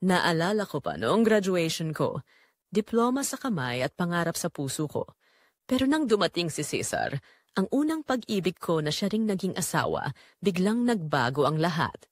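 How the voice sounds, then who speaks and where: A woman's voice: fairly low pitch, dry recording, clean studio sound. Woman acting wistful Filipina mother, swooping intonation. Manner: wistful, emotive, addressing listeners directly. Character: weary, neglected middle-aged Filipina mother, quietly sorrowful. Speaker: narrator and main character of an audio drama. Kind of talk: first-person dramatic confessional storytelling.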